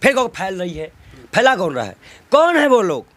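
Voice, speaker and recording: A man's voice: high-pitched, big pitch swings, moderate pace, no echo. A man in a heated political interview, arguing emphatically with rhetorical questions and repeated phrases. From North India.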